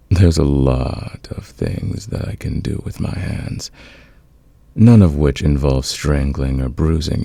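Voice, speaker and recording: Man acting a very deep, seductive gravelly villain. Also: warm tone, dry recording, full character voice. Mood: teasing, reassuring. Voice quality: gravelly, husky. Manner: intimate, teasing, unhurried, confident. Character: smitten male fantasy villain, patient, dominant, smoothly seductive. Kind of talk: romantic ASMR audio roleplay.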